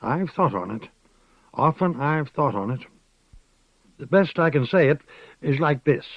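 A man's voice: a little high, animated, a little slow, no echo, voice pushed up. A man reading an audiobook, voicing an old rustic village witch woman who rambles folksily, calls people dearie and slips into chanting. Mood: folksy, knowing. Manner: rocking, chanting incantation. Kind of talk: audiobook character voice. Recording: dull, dry lo-fi recording.

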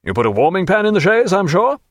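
A man voicing a brisk, hearty naval captain giving orders and coaxing a friend, in a storybook reading. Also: high voice, sing-song, dry recording, studio quality, pitched above the performer's own voice.

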